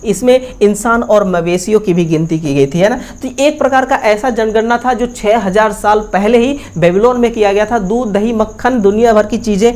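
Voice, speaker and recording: A woman's voice: mid-range voice, normal speed, hardly any pauses, a little mellow. A woman with an Indian accent teaching an exam-review lesson, explaining instructively with repeated tag questions and repeated answers.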